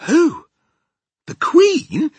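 A man's voice: high voice, huge pitch swings, moderate pace, bright, forceful tone, slightly rough, no echo, pitched above the performer's own voice, heavily acted. A man voicing a feisty, indignant young girl from a children's storybook, emphatic and insistent. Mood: outraged. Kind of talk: children's storybook character reading.

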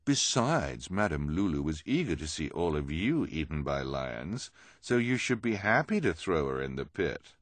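A man's voice: extremely low pitch, very animated, normal speed, few pauses, no room sound, full character voice. Man voicing a haughty, scheming villainess, swooping and emphatic. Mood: scornful. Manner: persuasive, emphatic, condescending. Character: scheming, smooth-talking villainous woman, persuasive and haughty.

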